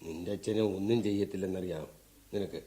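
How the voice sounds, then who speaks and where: An actor playing a drunken, defiant, aggrieved husband in a radio drama, with a southern Kerala Malayalam accent and a blustering, grumbling delivery. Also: male voice, low voice, quick pace, mellow, close to an everyday voice. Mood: belligerent. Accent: Kerala Malayalam, southern Travancore. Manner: blustering, grumbling, with frequent grunts. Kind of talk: mid-century Indian radio drama acting.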